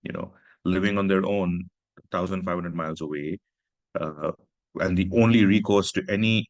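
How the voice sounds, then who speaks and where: A man's voice: low voice, dry recording, highs cut off. A man from Pakistan, an author speaking earnestly in a reflective conversation. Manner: earnest, measured, personal storytelling.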